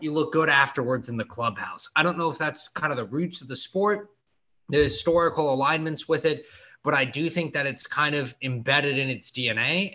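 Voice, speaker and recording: Bright, higher-pitched young male podcaster. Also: highs cut off. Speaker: podcast co-host. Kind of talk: casual sports chat.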